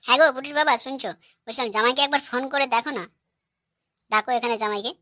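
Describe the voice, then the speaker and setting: Cartoon mother-in-law, female performer: middle-aged, scolding, higher voice, very rapid. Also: sharp tone, no echo, clean studio sound. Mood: exasperated. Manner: scolding, questioning. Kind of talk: cartoon comedy dialogue.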